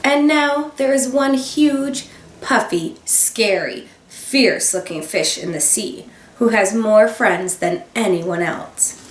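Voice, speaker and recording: A woman teacher reading a children's picture book aloud, expressive, with gasps and dramatic emphasis. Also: a little high, slow. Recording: roomy sound, voice a bit far from the mic.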